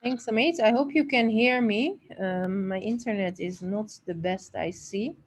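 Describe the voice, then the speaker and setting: A woman's voice: mid-range voice, unhurried. A woman from the Netherlands introduces herself as a managing director in an informal online seminar, over internet call audio.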